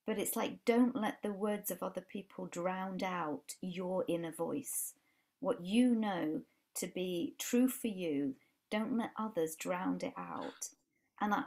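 A woman's voice: mid-range voice, a little mellow. A woman in her forties with a Yorkshire accent, a life coach guest chatting earnestly on a video call from home, often restarting her sentences. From England. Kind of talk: casual podcast chat. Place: home room with brick wall.

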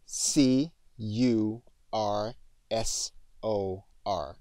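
A man narrating an instructional screen tutorial as a teacher, in deliberate, separated phrases on a close mic. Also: lowish voice, warm tone, no echo. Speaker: software instructor. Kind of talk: scripted screen tutorial narration with spoken dictation commands.

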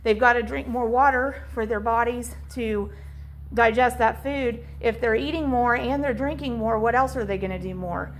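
A woman's voice: fairly high pitch, moderate pace. A woman poultry farmer explaining floor care as she films inside her chicken barn on a handheld phone, walking listeners through her reasoning. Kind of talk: instructional farm walkthrough. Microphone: handheld phone camera.

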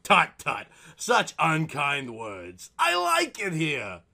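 A man's voice: on the high side, wildly swooping pitch, slow pace, gravelly, bright, forceful tone, pitched below the performer's own voice, full character voice. A man voicing a theatrical, ghoulish male corpse in a horror comic reading. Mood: gleeful, taunting. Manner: theatrical, melodramatic. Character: gleeful ghoulish male corpse turned pleading victim.

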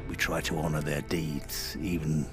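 An actor playing a grim, vengeful veteran commando in a video game, gravelly and terse, with an English accent. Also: male voice, a bass voice, level tone, normal speed, over music. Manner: terse, weary, hard-edged. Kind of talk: cinematic video game acting.

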